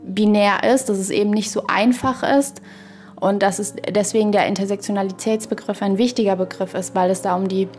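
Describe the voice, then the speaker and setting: A woman talks through ideas in a reflective podcast conversation, with a medium-pitched voice. She feels her way haltingly through sentences and checks in with small tag questions. Faint music plays behind her. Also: fairly even intonation, darkish tone. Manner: halting, searching, thinking aloud with frequent tag questions. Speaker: podcast guest or co-speaker.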